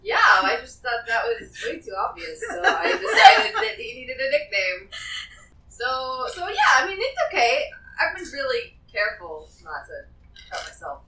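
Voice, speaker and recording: High, animated woman playing a tabletop game. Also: normal speed. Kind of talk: casual improvised role-play banter. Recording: roomy, slightly distant mic, faint noise.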